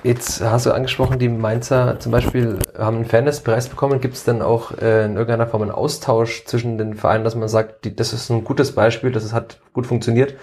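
A man's voice: mid-range voice, gravelly, darkish tone. A male interviewer asking questions and giving short backchannels.

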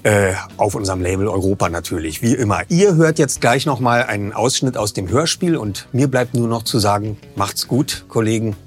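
A man reading a detective story aloud and presenting it in an expressive storytelling manner. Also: lowish voice, moderate pace, hardly any pauses, faint music behind. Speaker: audiobook reader and presenter. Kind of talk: scripted narration of a youth detective novel.

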